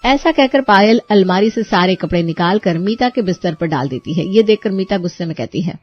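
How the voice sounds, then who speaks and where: A woman's voice: mid-range voice, expressive, brisk, highs cut off, soft background music. A woman reading scripted storybook narration as the narrator.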